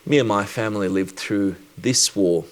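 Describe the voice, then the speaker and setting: A man from Sri Lanka who works as a doctor, giving a personal conference talk in a reflective, measured way with deliberate pauses. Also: fairly low pitch, low-quality recording.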